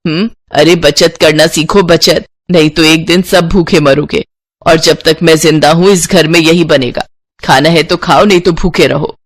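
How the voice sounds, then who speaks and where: A woman's voice: low voice, steady tone, normal speed. A woman voicing a stingy, bossy middle-aged mother-in-law in a story, nagging and sarcastic. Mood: indignant, stubborn.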